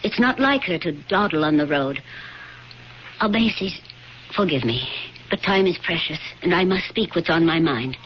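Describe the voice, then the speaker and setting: Actress as passionate young noblewoman, low-voiced, old radio. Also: expressive, moderate pace, lightly acted. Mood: earnest. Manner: earnest, pleading, formal diction. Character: passionate, grief-stricken young noblewoman of ancient Pompeii. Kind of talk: classic radio drama acting. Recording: dull, noisy old radio broadcast.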